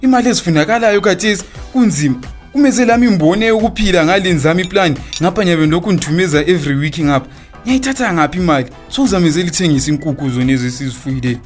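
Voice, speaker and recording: A man's voice: high voice, animated, racing, faint music behind. A man with a Zimbabwean accent acting an evasive, philandering husband in a radio drama, stammering through his excuses. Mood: defensive, irritated. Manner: stammering excuses, switching into English phrases. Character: evasive philandering husband and father, smooth-talking, defensive.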